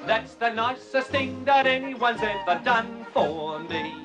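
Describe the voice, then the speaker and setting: An actor playing a cheerful street soup seller who makes a pompous speech with rough grammar and leads a crowd in song in a film musical. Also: male voice, extremely high pitch, slow, over music. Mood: jubilant. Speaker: street vendor giving a speech and singing. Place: crowded snowy street set.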